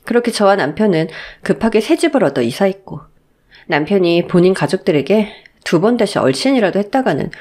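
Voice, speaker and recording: Expressive woman narrating a dramatic story. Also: medium pitch. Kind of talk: scripted first-person dramatic narration.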